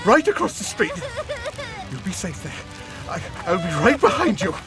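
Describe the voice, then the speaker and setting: Man acting a frantic father, pitched high. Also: huge pitch swings, moderate pace, over music. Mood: urgent, reassuring. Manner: frantic, stammering, pleading. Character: desperate loving father rescuing his children from fire. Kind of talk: audio drama acting.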